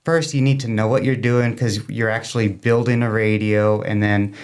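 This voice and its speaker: A man's voice: on the low side, steady tone, normal speed, darkish tone, smooth. A man speaking as a guest in an interview, explaining things informally in a plain-spoken way. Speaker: guest, amateur radio club member.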